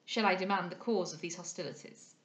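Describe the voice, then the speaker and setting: A woman voicing a witty society gentleman in an arch, mock-grave, formal manner for a period audiobook. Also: lowish voice, brisk, roomy sound, close to an everyday voice. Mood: arch, dry. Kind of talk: dramatised audiobook reading of a period novel.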